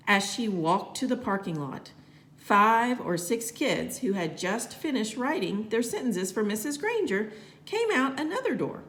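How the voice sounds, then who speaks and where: A woman reading a children's storybook aloud in a deliberate, expressive storytelling manner. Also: medium pitch. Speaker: teacher reading aloud to students. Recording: roomy, echoey home-style recording.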